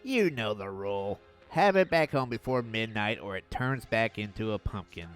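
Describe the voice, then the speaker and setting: A young man reviewing an old cartoon in a sarcastic, mocking voice-over with deadpan jabs. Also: on the low side, normal speed, music in the background.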